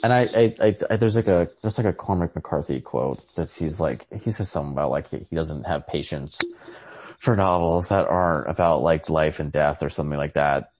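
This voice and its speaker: A man's voice: very deep voice, a little fast, a little mellow. A man co-hosting a podcast in casual literary chat, speaking tentatively and often repeating himself as he searches for the point. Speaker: podcast co-host.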